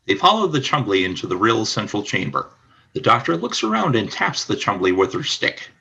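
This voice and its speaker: A man's voice: lowish voice, very animated, brisk, vocal fry, sharp tone, dull audio. A man reading scripted narration for a radio play.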